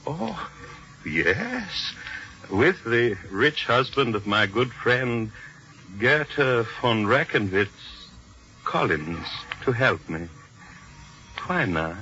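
An actor playing a scheming exiled portrait painter who blackmails with formal, courtly manners, in a 1940s radio drama style. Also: male voice, medium pitch, very animated, drawn-out words, long pauses, heavily acted. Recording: muffled old radio broadcast with faint hiss.

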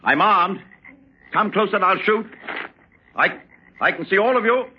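An actor playing a middle-aged, bullying colonial colonel with a rigid, peremptory military manner in a drama. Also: male voice, high-pitched, animated, measured pace. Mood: alarmed, defiant. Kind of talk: dramatic acting. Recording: dull lo-fi sound with faint noise.

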